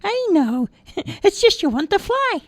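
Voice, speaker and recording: A woman's voice: extremely high pitch, very animated, unhurried, dry recording, voice pushed up, heavily acted. A woman voicing a tiny, chirpy magical man who lives inside a boy's heart and giggles as he talks. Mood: cheerful.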